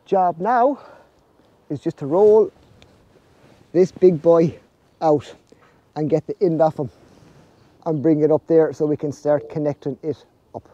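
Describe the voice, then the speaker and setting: A man's voice: a little high, a little slow, pauses often, mellow, dry recording, dull audio. A man in his forties with an Irish accent, casually explaining farm jobs outdoors in a vlog. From Ireland. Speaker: snail farmer presenting his farm vlog. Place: outdoors under netting on a farm.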